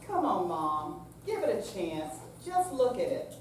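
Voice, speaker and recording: A woman playing a patient, coaxing grown daughter in a staged reading, with deliberate, read-out delivery. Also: fairly low pitch, big pitch swings. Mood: firm, pleading. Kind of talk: staged readers theater reading. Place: senior center room, staged live reading. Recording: very echoey hall, distant mic, faint noise.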